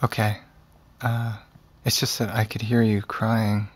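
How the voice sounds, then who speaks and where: Audio drama teen lead: kind, awkward boy, slightly rough-edged voice, halting delivery. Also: lowish voice, little pitch movement. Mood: concerned. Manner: hesitant, halting. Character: awkward, hesitant, kind 17-year-old boy. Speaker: actor. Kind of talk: naturalistic audio drama acting.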